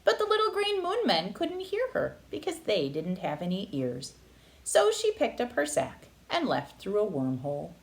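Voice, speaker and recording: A woman narrating a children's picture book aloud with expressive, dramatic phrasing. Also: mid-range voice, normal speed, rough recording. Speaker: read-aloud storyteller.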